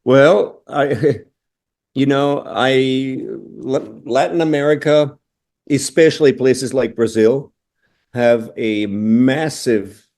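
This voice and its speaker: A middle-aged man from Austria, a drummer answering interview questions thoughtfully, often pausing with "you know". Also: medium pitch, darkish tone. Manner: thoughtful, restarts phrases, frequent "you know".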